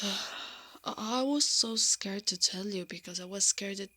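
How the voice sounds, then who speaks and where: A hushed, unhurried female knight, acted by a woman in an ASMR roleplay. She is strong and protective, with a tender, teasing temper. Her soft voice often slips into breathy whispering at a medium pitch. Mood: tender, vulnerable. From Italy. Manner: slow, intimate, hushed delivery. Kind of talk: ASMR roleplay, intimate scripted acting.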